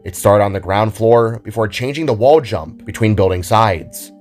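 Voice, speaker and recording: A man reads scripted fiction as a narrator, in a dramatic storytelling style. His voice sits fairly low, and music plays behind it. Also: moderate pace, a little mellow.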